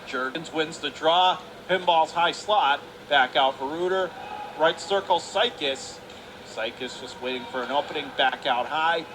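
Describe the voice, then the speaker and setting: A male sports commentator with a notably high voice calls a live hockey game. He speaks in short, clipped phrases and lifts into excitement on big plays. Clear rink noise runs behind him. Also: measured pace. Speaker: radio play-by-play commentator. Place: indoor ice hockey arena.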